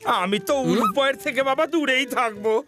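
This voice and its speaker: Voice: high-pitched, moderate pace, background noise, lightly acted. A performer voicing a timid, fussy grown man in a cartoon, nervous and stammering, with music underneath. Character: Laddu Singh, adult man, timid and fussy. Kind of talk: Bengali cartoon dubbing.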